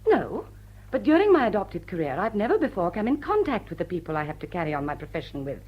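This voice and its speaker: An actress playing a witty society woman with a secret criminal side in a period radio drama, arch, teasing and composed, with a husky voice. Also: fairly low pitch, big pitch swings, quick pace, dull audio. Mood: firm reluctance.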